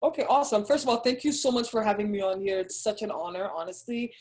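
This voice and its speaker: A young woman from Haiti with a Haitian accent, telling her own story as an interview guest in an earnest, soft-spoken way. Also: medium pitch, fast talker. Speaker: interview guest, Army captain. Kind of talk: casual personal story.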